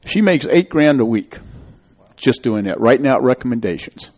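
A man's voice: mid-range voice, lively intonation, moderate pace, a little mellow. A middle-aged man hosting a public meeting, rambling and emphatic as he gives his opinion. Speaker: local official hosting a public meeting show. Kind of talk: town meeting discussion, opinionated.

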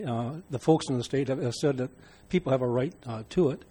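Citizen at a meeting mic, husky man, halting delivery with restarts. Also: mid-range voice, mellow. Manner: halting, many fillers and restarts. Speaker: member of the public. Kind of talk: public comment at a meeting.

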